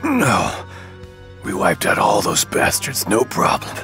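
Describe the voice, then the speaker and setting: An actor playing a badly wounded alien warrior in an anime game, straining raspily to speak in broken, gasping phrases. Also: male voice, on the low side, wildly swooping pitch, slow, a bit bright, heavily acted. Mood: bitter, weary. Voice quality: raspy, strained. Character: badly wounded, loyal male alien warrior, near death. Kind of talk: dramatic dubbed anime game acting. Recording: music under the voice. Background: music.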